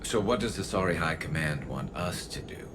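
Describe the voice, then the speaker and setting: A man playing a gruff, wry alien soldier with terse, dry delivery in a video game. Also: sits low, expressive, brisk, noisy background. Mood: alert, dry. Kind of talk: video game dramatic acting. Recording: loud music behind.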